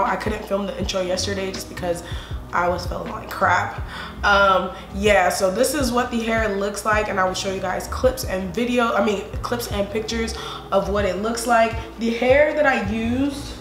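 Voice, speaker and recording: A young woman in her twenties with a stuffy voice while recovering from a sore throat, chatting to viewers in a hair tutorial vlog from her apartment. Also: mid-range voice. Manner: chatty, laughing, addresses viewers directly. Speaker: beauty vlogger. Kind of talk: casual hair tutorial chat. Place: apartment living room.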